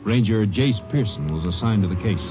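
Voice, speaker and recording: A man narrating a 1940s radio drama in a formal, measured way. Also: on the low side, expressive. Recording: lo-fi vintage radio recording with music.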